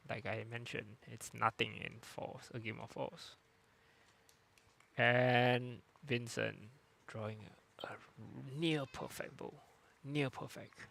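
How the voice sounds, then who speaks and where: A man from Singapore doing live sports commentary in a steady, even tone, with frequent pauses and fillers. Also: mid-range voice, creaky.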